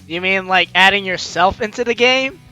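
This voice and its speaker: A man's voice: sits high, normal speed, low-quality recording. A man doing casual let's play commentary, reading game text aloud and adding teasing, sarcastic asides. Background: soft game music.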